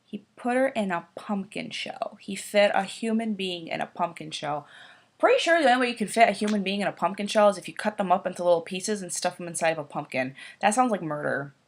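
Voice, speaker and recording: A woman's voice: medium pitch, expressive, brisk. A woman with a head cold, sniffling as she mocks a children's book in a sarcastic, profane casual commentary. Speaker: YouTuber.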